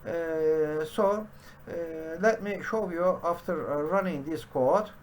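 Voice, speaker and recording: Brief: middle-aged man, very slow tutorial delivery, slightly high voice, constant fillers. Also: a little hiss or hum. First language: Turkish. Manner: halting, many "uh" fillers. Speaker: programming teacher. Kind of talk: screen-share coding tutorial. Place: home study with bookshelves.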